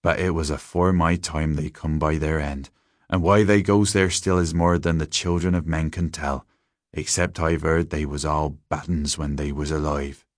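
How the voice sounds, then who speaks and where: A man's voice: very deep voice, steady tone, moderate pace, no echo, clean studio sound. Man voicing a grave old rustic English shepherd. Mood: grave, eerie. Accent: rural English. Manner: folksy dialect, emphatic warnings. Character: old country shepherd, grave, warning, rustic and plain-spoken.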